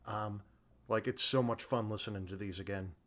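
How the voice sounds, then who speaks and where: A man's voice: on the low side, steady tone, normal speed, a bit bright. A middle-aged man co-hosting a podcast, chatting in a joking, slangy and emphatic way. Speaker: podcast co-host. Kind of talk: casual chat.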